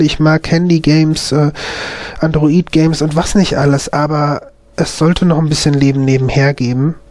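A man with a slight cold, commentating casually on a game as host, often pausing to search for words. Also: on the high side, darkish tone, dry recording. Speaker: gaming video host.

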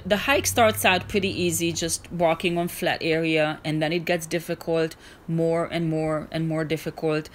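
A woman's voice: low-pitched, slight background noise. A woman narrating her hiking vlog in a casual, earnest, encouraging way, with small restarts. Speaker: hiking vlogger.

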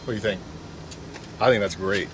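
Excited young man vlogging in a van. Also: lowish voice, brisk, noisy background. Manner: enthusiastic, easily impressed, laughs often. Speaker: vlogger host. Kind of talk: casual vlog chat. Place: inside a camper van kitchen, parked outdoors. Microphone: action camera held at arm's length.

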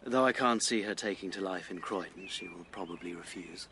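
An actor playing a bitter, struggling writer and husband in a period radio drama, speaking formally and stiffly with self-pity. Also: male voice, low-pitched, a little fast, noisy background. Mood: weary, wounded.

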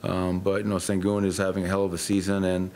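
A middle-aged man, a head coach, answering questions at a post-game press conference in a measured, matter-of-fact way with frequent fillers. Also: deep voice, monotone, darkish tone. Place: press room.

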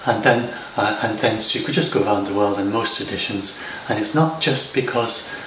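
Older English man, low-ish voice, halting chat to camera, distant, noisy home recording. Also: sharp tone, echoey room. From lives in Scotland. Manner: rambling, hesitant, many fillers and restarts. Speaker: software developer talking to camera. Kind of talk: unscripted talk to camera. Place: home room by large windows. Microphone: far camera.

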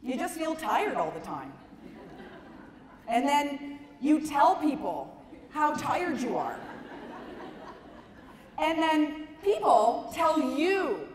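A middle-aged woman giving a stand-up comedy talk to an audience, emphatic and conversational, with dramatic pauses. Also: high-pitched, big pitch swings. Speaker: comedian, keynote speaker. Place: large conference ballroom stage. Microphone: handheld microphone. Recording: echoey hall PA, some noise.

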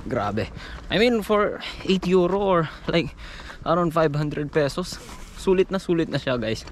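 A man's voice: fairly high pitch, animated, a little slow. A young man from the Philippines vlogging casually as he hikes, chatty and out of breath, with the camera held at arm's length outdoors. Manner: chatty, exclaims at the view, out of breath while climbing. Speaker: travel vlogger. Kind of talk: casual travel vlog, mixing Filipino and English. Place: outdoors on a hillside in an old stone town. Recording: outdoor noise in the background.

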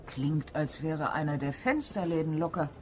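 A gossipy housekeeper of a lonely country house, confiding and dramatic. Her pitch swings widely as she tells her tales in a mystery radio play, with faint noise behind her. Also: lively intonation, moderate pace. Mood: reassuring.

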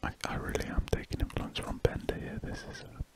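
A British man speaking in a breathy whisper, rambling slowly and musingly in an ASMR style as he draws at a desk. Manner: slow, musing, trailing off. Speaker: ASMR artist. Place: indoors at a drawing desk.

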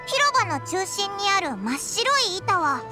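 A tiny, cheeky fairy companion speaks in an extremely high childlike voice. The speech is blunt, boyish and exclamatory, like an anime game sidekick. Loud music plays behind the voice. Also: female voice, big pitch swings, a little slow, heavily acted. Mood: amazed, curious. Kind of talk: Japanese anime game voice acting.

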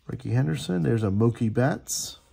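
A man with a husky voice and plenty of fry in it hosts a hobby video from a tabletop indoors. He talks casually as he goes, reading names aloud and chatting appreciatively about what he sees. His pitch sits in an ordinary male range. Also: lively intonation, moderate pace, warm tone. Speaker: host opening sports card packs. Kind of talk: casual running commentary.

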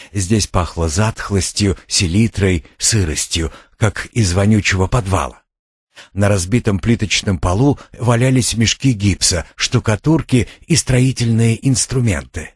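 A man narrating a suspense thriller audiobook in a measured, dramatic storytelling manner. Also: lowish voice, slightly rough, dry recording, clean studio sound.

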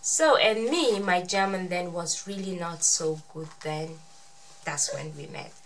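A woman's voice: fairly low pitch, unhurried, a little hiss or hum, poor audio. A woman in her thirties hosting a casual family vlog, speaking to viewers and often repeating words. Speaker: vlogger host. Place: small bedroom, indoors.

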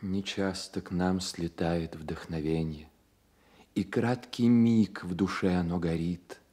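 An actor playing an ardent romantic poet and fond friend in a radio play, speaking in an expressive, literary manner. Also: male voice, sits low, takes it slowly, breathy edge, heavily acted. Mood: rapt. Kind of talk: Soviet radio play acting.

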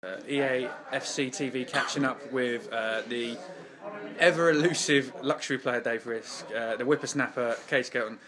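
A young English man hosting a jokey post-match interview for a club channel, halting with many fillers and teasing his guests. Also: mid-range voice, fairly even intonation, a crowd in the background.

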